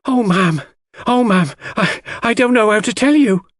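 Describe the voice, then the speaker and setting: A man's voice: high-pitched, a little slow, no echo, clean studio sound, voice pushed up. A man voicing a distraught, tearful housemaid in an audiobook, agitated and frantic. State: crying, agitated. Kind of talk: audiobook character voice.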